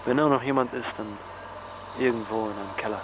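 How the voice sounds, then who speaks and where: A man's voice: medium pitch, brisk, natural voice. An actor playing a shaken, worried father caught in a disaster, speaking in a troubled, emotional way in a naturalistic radio drama. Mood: despondent. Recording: loud ambient noise behind the voice.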